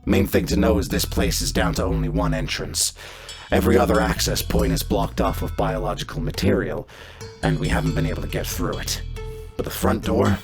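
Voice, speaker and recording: Casting: blunt cyborg mercenary boss for a tabletop game; low, extremely gravelly, coarse and laconic. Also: male voice, heavily acted. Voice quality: heavily gravelly, rasping.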